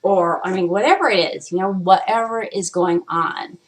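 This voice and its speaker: A woman talking straight to camera in a loose, rambling vlog chat. Her voice sits a touch low for a woman. She swears freely and keeps slipping into playful put-on voices. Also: moderate pace. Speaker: vlog host.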